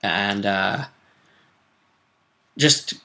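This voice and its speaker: A man's voice: lowish voice, extremely slow, bright, forceful tone. A man talking casually as a game master, recapping tabletop role-play in hesitant storytelling fragments.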